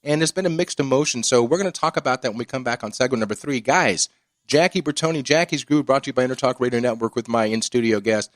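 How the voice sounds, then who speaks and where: A man's voice: mid-range voice, quick pace, dry recording. A man hosting a radio talk show, casual and brash, ranting and effusive, from a studio. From East Los Angeles.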